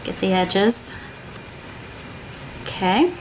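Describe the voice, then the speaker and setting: A woman's voice: mid-range voice, lively intonation, very slow, slight background noise, poor audio. Chatty woman hosting a craft tutorial. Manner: chatty, step-by-step, frequent "okay?" check-ins. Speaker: craft show host.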